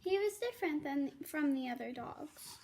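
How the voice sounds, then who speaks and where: A young girl reading a children's storybook aloud carefully, with some halting. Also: sits high, animated, darkish tone.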